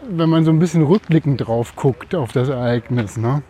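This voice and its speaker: Mellow man, halting speech. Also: medium pitch, slight background noise. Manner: halting, searching for words. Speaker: podcast co-host. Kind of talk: casual conversation.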